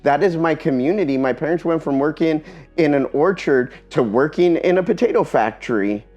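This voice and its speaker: Man, earnest, halting talk, pauses often, spanish first language, faint guitar behind. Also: fairly high pitch, a little mellow. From Eastern Washington, USA. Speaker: speaker giving a talk. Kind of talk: personal talk.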